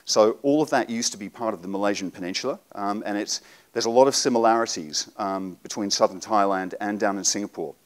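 A man in his forties giving a live seminar talk to an audience in a conference room, relaxed and explanatory with frequent ums. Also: lowish voice, a little fast. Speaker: presenter.

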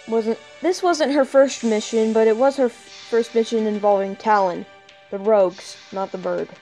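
High-voiced woman storyteller, stumbling slightly. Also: dark tone, low-quality recording. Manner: stumbles over written words. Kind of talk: scripted narration of fan fiction.

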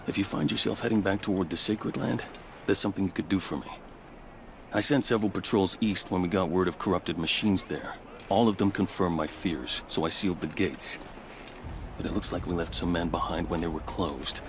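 Deep-voiced diplomatic captain for a game cutscene, formal, measured. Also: male voice, slight background noise. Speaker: game character. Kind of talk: video game cutscene dialogue.

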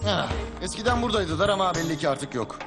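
A man's voice: sits high, lively intonation, quick pace. A man voicing a brave, commanding and wry adventurer father in a cartoon. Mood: warning. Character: brave, protective adventurer father, cool-headed. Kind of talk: animated cartoon dubbing. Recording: loud music behind.

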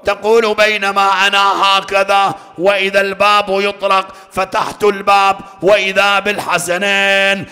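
High-voiced mournful male reciter, long hall reverb. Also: completely flat delivery, bright, forceful tone. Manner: mournful, drawn-out, tearful emphasis. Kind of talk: Shia lamentation sermon, dramatic storytelling with chanted passages.

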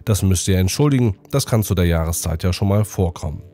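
A middle-aged man with a cold, sounding hoarse and nasal, hosting a casual astrophotography sky-preview video from his home study. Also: sits low, brisk. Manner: lively, animated presenting. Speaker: astrophotography channel host. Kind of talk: casual presenting, sky preview and prize draw.

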